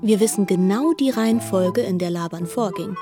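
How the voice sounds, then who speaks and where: A woman narrating a literary radio drama in a measured, reflective manner. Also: mid-range voice, music in the background.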